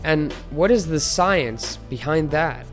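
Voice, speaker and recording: A man's voice: a little high, slow pace, music in the background. A young man hosting a solo science podcast, chatting casually with a congested, nasal voice and lots of ums and restarts. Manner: rambling, filler-heavy, relaxed. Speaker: podcast host.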